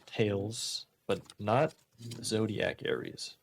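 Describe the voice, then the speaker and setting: Low-voiced man hosting comedy radio. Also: big pitch swings, very slow, vocal fry, a little hiss or hum. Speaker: radio show host. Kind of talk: casual comedy banter on college-style radio. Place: small radio studio.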